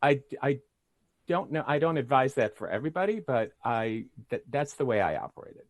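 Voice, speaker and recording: A man's voice: medium pitch, animated, moderate pace, dry recording. A man chatting casually as a panelist, in an informal, anecdotal way. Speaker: panelist, thriller author. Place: indoors on a video call.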